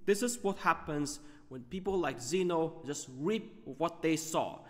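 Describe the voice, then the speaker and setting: Man, higher voice, very lively intonation, halting gaming commentary, stumbles over words, long echo. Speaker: gaming video host. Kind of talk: off-the-cuff gaming commentary and rant.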